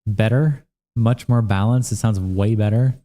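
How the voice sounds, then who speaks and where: Worn-out streamer man, dark low voice. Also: fairly even intonation. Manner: casual, profane, reacts to chat with quick asides. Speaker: livestream host. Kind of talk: casual livestream chat reading viewer comments.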